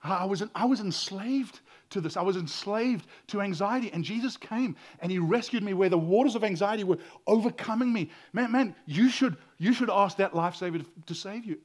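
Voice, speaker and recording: A man with a loud voice preaching a sermon as pastor, swinging between hushed whispers and loud exclaiming, often stammering and repeating words. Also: sits high, brisk, few pauses.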